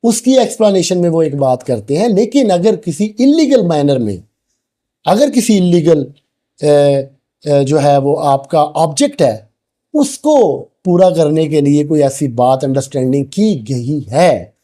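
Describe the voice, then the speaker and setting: A Pakistani man delivers a lecture in a soft, mellow tone that sits a little high for a male voice. He is didactic, laying out each point in turn as a teacher would for exam students. English technical terms are mixed into his explanations. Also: big pitch swings, a little slow. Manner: didactic, switches between Urdu and English legal terms. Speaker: law lecturer.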